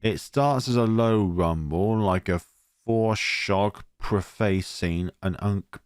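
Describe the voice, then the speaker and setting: A man's voice: fairly low pitch, slow pace, clean tone, no echo, studio quality. A man reading a visual novel aloud in a dramatic way, adding asides and correcting his own stumbles. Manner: theatrical, stumbles and corrects himself. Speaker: let's play host. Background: music.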